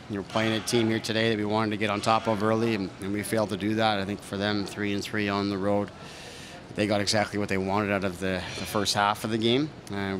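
Low, flat-toned hockey coach at the podium. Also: male voice, noisy background. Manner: measured, thoughtful, frequent fillers and restarts. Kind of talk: post-game press conference answers. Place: press room in front of a sponsor backdrop. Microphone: several reporters' handheld mics close in front.

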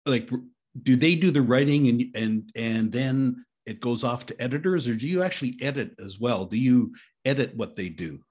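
A man's voice: medium pitch, animated, normal speed, darkish tone, husky. A man hosting a podcast interview, asking thoughtful, hesitant questions with fillers. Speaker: podcast host.

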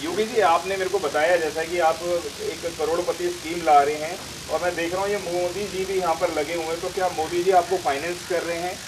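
A man's voice: fairly even intonation, a little fast, few pauses. A man, presenting and interviewing on camera with a handheld microphone. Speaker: news channel host and interviewer. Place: outdoors in front of banners. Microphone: handheld news microphone. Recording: noisy outdoor sound, vehicle rumble, hiss.

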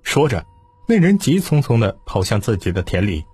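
Husky male storyteller, lively pitch. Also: normal speed. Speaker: audiobook narrator. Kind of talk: dramatic scripted narration of historical fiction. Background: music.

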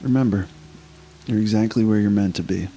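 A man leading a guided meditation, giving slow, unhurried instructions with long pauses. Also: on the low side, warm tone, soft background music.

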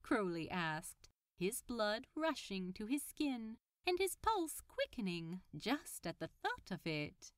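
Casting: female audiobook narrator, unhurried story reading with lively pitch movement. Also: no room sound, clean studio sound. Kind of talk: scripted fiction narration.

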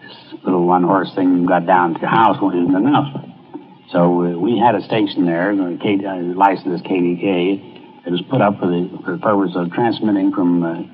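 Older american guy remembering stuff, kinda halting and folksy, low voice, old phone-like recording. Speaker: engineer recalling his work. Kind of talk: informal recorded reminiscence. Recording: tinny, noisy old archival recording.

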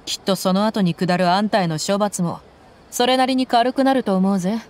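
A woman's voice: medium pitch, normal speed, a little hiss or hum, close to an everyday voice. A woman voicing a blunt tough mercenary woman. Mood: stern. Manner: rough commanding, blunt threats. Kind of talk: Japanese game dub. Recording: game audio with loud music behind.

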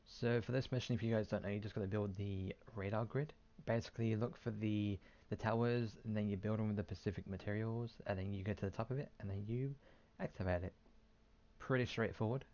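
A man's voice: lowish voice, steady tone, brisk, darkish tone. A man hosting a gaming video, giving casual commentary as he plays, on a close mic.

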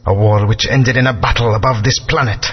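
Husky grave alien elder, low voice, lo-fi game sound. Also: male voice, steady tone, soft background music. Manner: measured, authoritative. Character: ancient alien race elder, stern and grave. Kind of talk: game voice acting. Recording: dull lo-fi game audio.

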